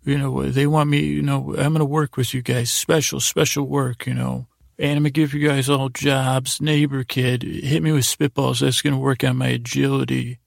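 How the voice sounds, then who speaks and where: A man with a heavily creaky, gravelly voice, recorded close and dry. He hosts a podcast as a rambling bedtime monologue. His delivery meanders and halts, often circling back to repeat words. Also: mid-range voice, level tone, dry recording. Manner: meandering, halting, repeats himself. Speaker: podcast host.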